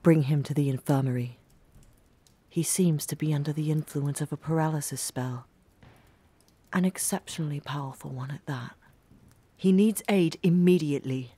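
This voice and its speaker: A woman voicing a strict, authoritative headmistress of a knights' academy in a fantasy audio drama, with a husky voice. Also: low-pitched, normal speed, long pauses, dark tone. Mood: commanding. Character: stern commanding female headmistress of a knights' academy.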